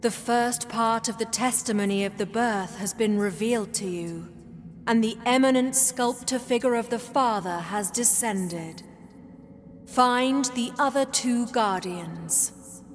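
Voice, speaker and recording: A woman's voice: a little high, measured pace, lightly acted. An actress playing an otherworldly female herald in a video game, addressing the listener with stately, solemn ceremony. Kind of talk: dramatic video game dialogue. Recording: long hall reverb over music.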